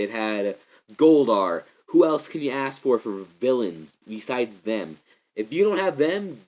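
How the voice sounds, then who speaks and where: A young man with a stuffy, congested voice gives a rambling, excitable movie review, often restarting his sentences. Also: mid-range voice. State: congested from a cold. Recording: slightly muffled lo-fi recording.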